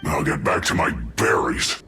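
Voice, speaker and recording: A man's voice: very deep voice, animated, a little slow, sharp tone, heavily acted. An actor playing a put-upon, defensive henchman in a video game, exasperated and pleading in an aggrieved way. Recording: roomy echo, music behind.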